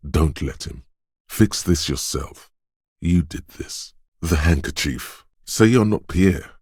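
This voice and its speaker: A man voicing a detective's quick-witted inner voice in a video game, narrating in a measured, deliberate way with short, wry, alert asides. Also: very deep voice, animated, a little mellow, slightly rough, dry recording, clean studio sound, exaggerated voice. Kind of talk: scripted game narration, second-person inner voice.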